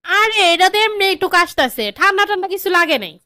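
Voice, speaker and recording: A woman acts out a domineering young cartoon wife with a Bangladeshi accent and an extremely high, bright voice. She nags and commands at a quick clip, grasping for money, in a dry close recording. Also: animated, dry recording, full character voice. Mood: demanding, defiant. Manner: commanding, nagging. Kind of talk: comedy cartoon dialogue.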